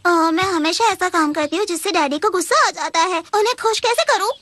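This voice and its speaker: A woman playing a spirited, impulsive young teenage girl in a Hindi-dubbed cartoon, expressive, eager and pleading. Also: very high-pitched, fairly quick. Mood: thoughtful, keen.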